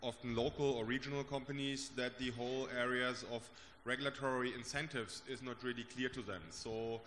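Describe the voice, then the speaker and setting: Flat-voiced man asking from the floor. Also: medium pitch. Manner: formal, measured. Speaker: audience member from industry. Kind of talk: question from the floor. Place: large conference hall. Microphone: handheld microphone. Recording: hall reverb, faint room hum.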